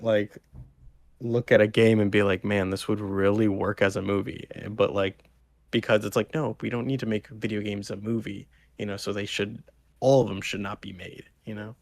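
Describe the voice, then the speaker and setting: A man co-hosting a podcast, arguing casually and haltingly, often checking that the listener follows. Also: on the low side, steady tone, creaky, a little mellow. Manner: halting, repetitive, seeking agreement with 'you know'. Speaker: podcast co-host. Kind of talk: casual conversational debate.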